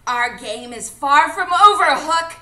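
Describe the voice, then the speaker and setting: A woman's voice: sits high, animated, a little slow, bright, forceful tone, exaggerated voice. A woman playing a cocky, defiant boy hero in a live radio drama, bold, taunting and declamatory. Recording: echoey live stage sound.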